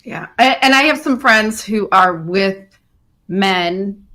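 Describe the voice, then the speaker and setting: A woman's voice: medium pitch, takes it slowly, pushed and bright. A woman hosting a conversational interview, putting questions to a guest. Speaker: podcast host, interviewer.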